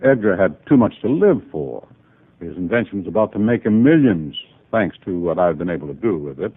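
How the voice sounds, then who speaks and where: Businessman, male: stiff, proper, indignant, lower register, old-time radio acting, narrow telephone-like bandwidth. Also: very animated, moderate pace. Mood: affronted. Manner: formal, indignant. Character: stiff, proper businessman, defensive and easily affronted. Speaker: supporting actor in a radio drama. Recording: tinny, band-limited old radio recording.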